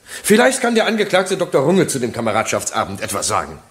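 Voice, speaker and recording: A man's voice: medium pitch, huge pitch swings, fast talker. An actor playing a skeptical male public prosecutor, formal and incisive, in a German courtroom radio play. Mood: probing.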